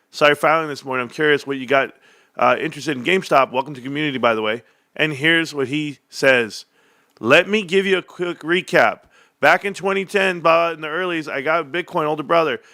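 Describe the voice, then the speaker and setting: A man's voice: mid-range voice, pushed and bright, no echo, clean studio sound. A young man hosting a stock commentary stream, ranting in a combative, sarcastic way as he reads out messages and posts. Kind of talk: heated casual rant.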